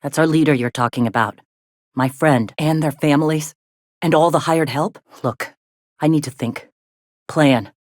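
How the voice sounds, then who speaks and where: An actress playing a weary, plain-spoken rebel lieutenant turned leader in a video game, with a blunt, folksy, colloquial manner. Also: female voice, low voice, a little slow, a little mellow, dry recording, clean studio sound. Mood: angry, conflicted. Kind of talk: scripted game dialogue.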